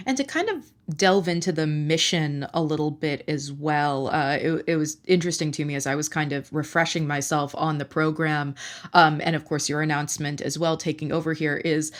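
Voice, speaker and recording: Low-voiced woman interviewer, hedging. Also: normal speed. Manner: thoughtful, hedging questions with many fillers. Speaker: reporter and podcast host.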